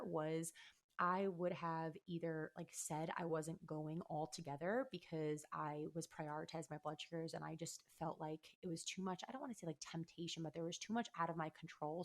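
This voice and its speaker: An American woman hosting a podcast alone, talking in a chatty, candid, casual way with a slightly hoarse voice. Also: low voice. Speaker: podcast host. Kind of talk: casual solo podcast talk.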